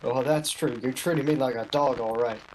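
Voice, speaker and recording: A woman acting in a radio play as an awkward, slyly teasing male shop clerk, with a halting, understated delivery. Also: extremely low pitch, steady tone, a little hiss or hum, low-quality recording. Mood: surprised, amused. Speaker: amateur actor.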